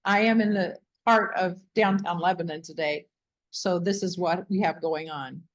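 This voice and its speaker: A woman host reading a book aloud in measured, emphatic phrases, with short comments of her own. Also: lowish voice.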